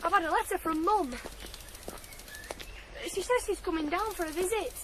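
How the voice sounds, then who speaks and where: A woman's voice: extremely high pitch, normal speed, fairly bright tone, a little hiss or hum. A woman playing an eager, shy teenage farm boy in a radio drama, hesitant and earnest. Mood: hopeful. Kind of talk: 1980s British radio drama acting.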